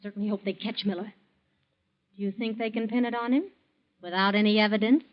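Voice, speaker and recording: A cold young schemer played by a radio actress, medium in pitch, who speaks with spiteful calculation and false innocence. It is 1940s radio drama acting, heard on a muffled old recording. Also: female voice, fairly even intonation, fairly quick, close to an everyday voice. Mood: brisk, guarded. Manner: cool, insinuating, falsely innocent.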